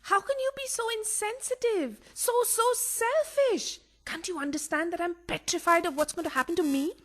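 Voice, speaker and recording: A woman's voice: extremely high pitch, moderate pace, fairly bright tone. A woman acting an anxious, melodramatic mistress with a practical streak in a farcical radio play. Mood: panicked.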